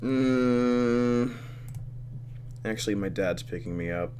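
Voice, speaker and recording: A man hosting a gaming video, reading game text aloud and commenting with expressive, dramatic delivery. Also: medium pitch, slow pace, background noise. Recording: game music behind. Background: game music.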